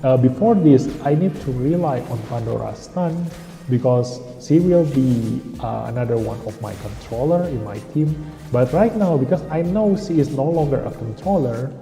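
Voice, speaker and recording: A man's voice: medium pitch, lively intonation, moderate pace, vocal fry, dark tone. A young man from Indonesia, chatting casually on a game stream and drifting off topic. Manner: rambling, often loses the thread. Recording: roomy echo with long reverb, faint music.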